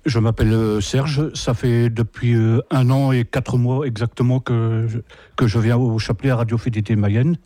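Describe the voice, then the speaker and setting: A man gives simple, earnest answers about his volunteering. His voice is mellow, recorded dry and close. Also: medium pitch, steady tone, normal speed, no echo.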